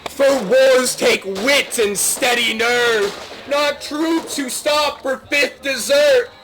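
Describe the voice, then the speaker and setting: A man loudly declaiming mock-heroic slam poetry in drawn-out lines, shouting it out during an online game. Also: very high-pitched. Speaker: video game player reciting a mock poem. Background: loud music.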